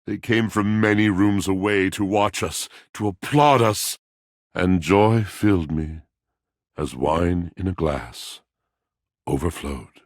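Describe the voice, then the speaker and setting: Brooding, lovelorn game character: bottomless pitch, raspy grain, grandly poetic and mournful. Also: male voice, slow pace, no room sound, studio quality. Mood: wistful, exalted. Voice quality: gravelly. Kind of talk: theatrical video game character monologue.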